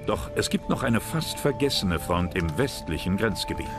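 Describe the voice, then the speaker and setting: Deep-voiced male documentary narrator over music. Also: big pitch swings, normal speed.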